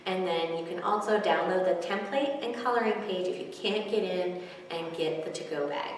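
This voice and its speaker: A woman's voice: on the low side, normal speed, slight background noise. Woman, thirties, children's library storyteller, read-aloud style, echoey hall, distant mic.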